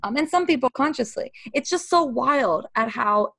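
A woman's voice: sits high, lively intonation, normal speed, gravelly, pushed and bright, no room sound, studio quality. A woman from Brazil, a life coach, speaking as a podcast guest in an earnest, emphatic way with bursts of intensity. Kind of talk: casual podcast conversation.

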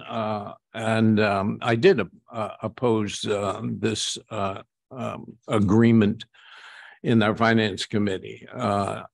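An American man speaking haltingly as a board director at a formal public meeting. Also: fairly low pitch, gravelly, no room sound, studio quality. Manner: halting, hesitant delivery.

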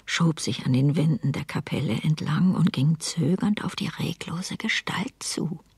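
Low, slow whispering mother. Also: darkish tone, no echo, studio quality, full character voice. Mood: detached. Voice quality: breathy whisper. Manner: measured, detached.

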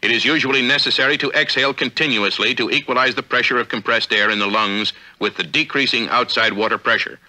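An American man reading formal, measured, authoritative narration for a 1950s instructional film, on an old film soundtrack. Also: mid-range voice, bright, forceful tone, poor audio. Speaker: narrator of a military training film.

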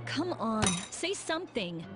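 A controlling, composed girlfriend from a video game. She talks in a pointed, matter-of-fact, scolding way, slightly high in pitch, over loud music. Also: very animated, a little slow. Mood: probing. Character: stern, nagging long-time girlfriend, composed and controlling. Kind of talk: animated game cutscene dialogue. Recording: loud music under the dialogue.

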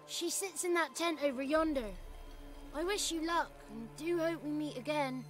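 A woman's voice: sits high, moderate pace, over music, close to an everyday voice. A woman playing a helpful, earthy village peasant in a fantasy game, frank and folksy.

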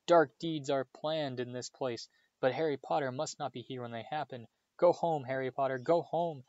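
A man's voice: moderate pace, darkish tone, no echo, close to an everyday voice. A man voicing a small, servile male house elf who pleads tearfully and calls everyone sir. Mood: frantic. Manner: squeaky, pleading, keeps saying sir. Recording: slightly dull dry recording.

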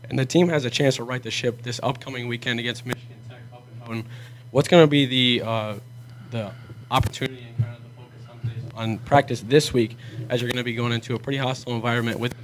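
Fast, flat-toned male reporter. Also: mid-range voice, poor audio. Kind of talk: press conference questions.

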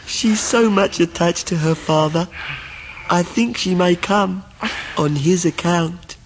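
A man's voice: high voice, slow, a little hiss or hum, poor audio. A man playing a falsely humble, scheming young clerk in a radio drama, with an obsequious, self-abasing manner. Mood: quietly scheming. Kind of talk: 1990s radio drama acting.

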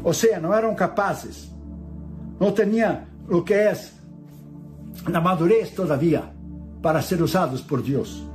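A middle-aged man delivers a sermon with earnest conviction. His voice sits a little high for a man, and he slips into a solemn, measured cadence when quoting scripture. Soft music plays faintly beneath him. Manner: earnest preaching, reads Bible verses aloud in solemn cadence. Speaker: preacher.

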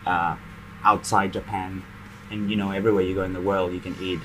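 A man's voice: low-pitched, little pitch movement, brisk, fairly bright tone, slight background noise, poor audio. A man, an immigrant host in a casual chat, speaking thoughtfully and agreeably in a restaurant. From immigrant to Australia. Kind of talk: casual conversation. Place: restaurant, indoors.

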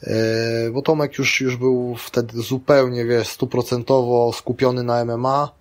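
A man's voice: mid-range voice, level tone, measured pace. A middle-aged man, a grappling athlete and coach, talking in a casual interview with many restarts and fillers.

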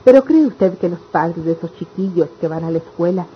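A woman's voice: fairly low pitch, lively intonation, fairly quick, husky. Weary country mother, muffled radio drama. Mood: aggrieved, doubtful. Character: embittered, weary country mother of a grown son. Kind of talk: old-fashioned radionovela acting. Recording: dull lo-fi radio serial with noise.

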